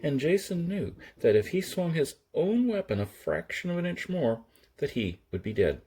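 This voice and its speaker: Male storyteller for audiobook fiction, husky, with highly animated, dramatic intonation. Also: normal speed. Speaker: audiobook narrator.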